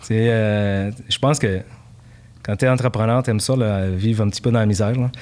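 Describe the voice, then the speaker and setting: A man in his forties from Portugal talks easily as a podcast guest, stopping often on fillers and restarting his sentences. His voice sits fairly low for a man. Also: moderate pace. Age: 40s. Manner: relaxed, many fillers and restarts. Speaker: guest, company founder. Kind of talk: casual podcast interview.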